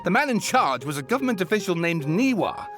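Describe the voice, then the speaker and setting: A man playing an excitable, theatrical writer in a video game, telling spooky tales in a wordy, dramatic way over music. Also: sits high, brisk. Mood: eager, informative. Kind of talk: video game character dialogue.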